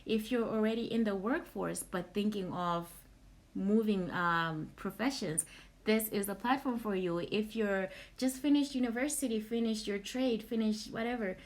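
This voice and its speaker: A young adult woman from Zimbabwe hosting a casual live question-and-answer session, informal and halting, repeating words as she thinks. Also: medium pitch. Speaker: platform host answering audience questions.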